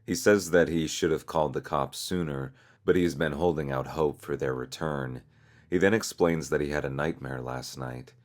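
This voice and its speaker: A man's voice: a bass voice, normal speed. A man reading scripted story narration as a narrator.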